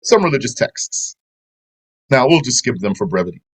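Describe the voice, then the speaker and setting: A man teaching a religious lesson at an everyday, middle pitch. He reads a line of a translated text and then explains it at length, slipping Arabic terms into his English. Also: very animated, moderate pace, breathy edge.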